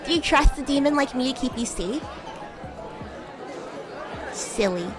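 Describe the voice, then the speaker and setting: Girl streamer doing a bratty demon classmate, real high swoopy voice, teasing, kinda flustered, roleplay stream. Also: animated, unhurried, music in the background, crowd noise. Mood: smug, teasing. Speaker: VTuber streamer playing a character. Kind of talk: improvised roleplay livestream, chatting with viewers.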